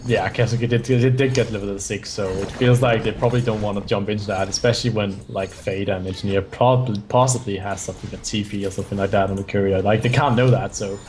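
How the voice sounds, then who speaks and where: A man's voice: fairly low pitch, steady tone, quick pace, hardly any pauses, a little mellow, slight background noise, rough recording. A man, not a native English speaker, giving strategy analysis as color commentator, often restarting his phrases.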